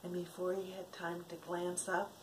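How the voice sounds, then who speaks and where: A woman's voice: fairly low pitch, normal speed. An older woman, a grandmother, reads a children's story aloud expressively, dramatizing it and checking in with her young listeners. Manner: dramatic, with gasps, hushed passages and questions to listeners. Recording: home recording with steady background noise.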